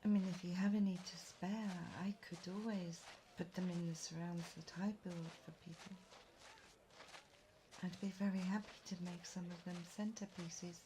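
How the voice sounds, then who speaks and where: A woman's voice: on the low side, fairly even intonation, normal speed, lightly acted. Woman acting a chatty, welcoming guide, noisy backdrop. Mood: friendly, welcoming. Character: Summer, friendly young woman guide from another world. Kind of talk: roleplay audio drama addressed to the listener. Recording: clear background noise.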